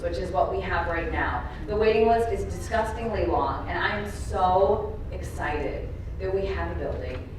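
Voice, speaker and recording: A woman's voice: mid-range voice, background noise. A woman acting as emcee at a conference, speaking upbeat and direct to the room and thanking people. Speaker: event emcee. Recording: echoey hall, voice heard from far off.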